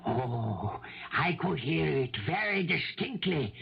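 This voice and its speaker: An actor playing a cagey, fearful older male neighbor in a 1970s radio mystery, evasive and halting, often repeating himself. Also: a little high, sing-song, vocal fry, slight background noise, heavily acted. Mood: nervous recounting. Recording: dull old radio broadcast recording.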